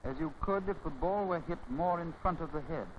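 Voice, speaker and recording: An old, dull-sounding film soundtrack with faint noise carries a man's commentary. His voice sits a little high for a man. He speaks formally and didactically in measured, scripted phrases, in the manner of a 1930s instructional film.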